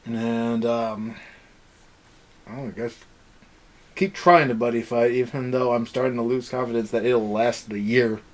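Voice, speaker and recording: A man's voice: medium pitch, fairly even intonation, moderate pace, pauses often. Young man ranting casually, exasperated. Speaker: review show host. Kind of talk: casual rant-style episode review chat.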